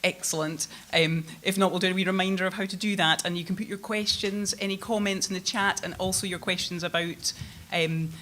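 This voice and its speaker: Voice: mid-range voice, fairly even intonation, a little fast, hardly any pauses, pushed and bright. A conference organiser giving housekeeping reminders, hesitant and repeating words. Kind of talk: housekeeping announcement.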